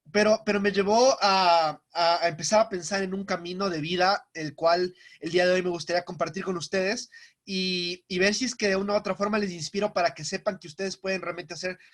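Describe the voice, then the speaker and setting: A man's voice: high-pitched, steady tone, brisk. A young man, giving a personal talk over a video call and often repeating himself as he restarts. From Ecuador. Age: young adult. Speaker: guest speaker.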